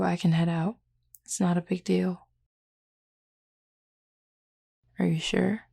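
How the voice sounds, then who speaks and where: A woman's voice: dark tone, full character voice. A woman playing a flirty, laid-back, protective female werewolf in an intimate audio roleplay, speaking casually and unhurriedly to the listener. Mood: relaxed, casual. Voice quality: low, husky, gravelly. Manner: casual, unhurried, teasing, nonchalant.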